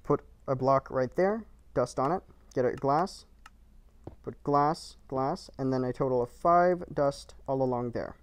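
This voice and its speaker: A man's voice: medium pitch, measured pace. A man narrating a game build tutorial in short, clipped, matter-of-fact instructions, recorded as a voice-over.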